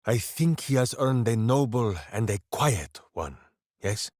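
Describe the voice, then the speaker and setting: An actor playing a big-hearted, boisterous, simple-minded heroic ranger in a video game, declaiming theatrically. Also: male voice, mid-range voice, expressive, unhurried, darkish tone, no echo, clean studio sound, natural voice. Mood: earnest, pondering. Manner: theatrical, declaiming, speaks of himself in third person. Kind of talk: video game character voice lines.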